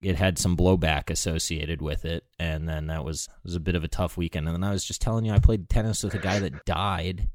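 A man's voice: sits low, steady tone, a little fast, hardly any pauses, no room sound, clean studio sound. An American man hosting a podcast, chatting informally and candidly. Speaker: podcast host. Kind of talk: casual chat.

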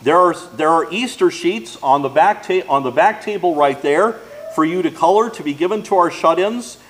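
American guy, pretty high voice, pastor reading out church announcements. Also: expressive, normal speed, fairly bright tone.

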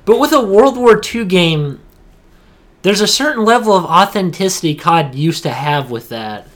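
A man's voice: high-pitched, lively intonation, moderate pace, a bit bright. A man co-hosting a casual gaming podcast, talking in a slangy, blunt and scornful way. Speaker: podcast co-host.

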